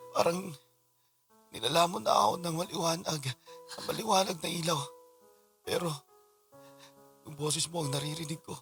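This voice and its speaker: An actor as a gravelly, devoted Filipino husband. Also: male voice, fairly high pitch, fairly bright tone, soft background music. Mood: grateful, tender. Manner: earnest, sentimental, halting. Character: devoted, grateful husband just woken from a long coma. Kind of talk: Tagalog radio drama acting.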